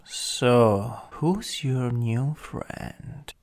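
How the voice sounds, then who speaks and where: A man's voice: mid-range voice, animated, very slow, a little mellow, a little hiss or hum. A man with an Italian accent playing a jealous, possessive, taunting supervillain in an ASMR roleplay, drawling and teasing, sometimes dropping to a whisper. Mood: jealous, needling.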